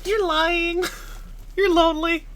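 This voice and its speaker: A woman's voice: very high voice, steady tone, slow pace, fairly bright tone, slight background noise. A woman livestreaming a game, reacting casually with teasing, playful, sweary commentary.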